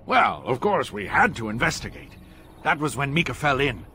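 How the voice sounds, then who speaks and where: An actor voicing a cocky, chatty rustic hunter telling a dramatic tale in a video game. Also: male voice, mid-range voice, big pitch swings, normal speed, gravelly, bright, forceful tone, slight background noise, heavily acted. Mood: storytelling.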